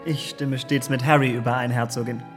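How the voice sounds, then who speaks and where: An actor in a German radio drama playing an elegant, pleasure-seeking young gentleman with a breathy voice. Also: mid-range voice, little pitch movement, brisk, music in the background, close to an everyday voice. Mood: languid charm.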